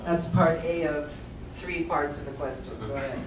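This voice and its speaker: A woman's voice: sits low, steady tone, moderate pace, noisy background. A woman moderating a panel discussion, posing questions directly to the speakers. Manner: direct, prompting. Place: conference room. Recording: echoey room, voice from far off.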